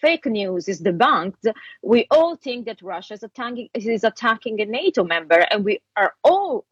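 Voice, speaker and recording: A woman with an Italian accent, speaking as a guest commentator in an interview. She talks earnestly and in a measured way, laughing easily between her points. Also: fairly high pitch, very animated, slightly rough, no room sound, highs cut off. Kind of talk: political interview talk.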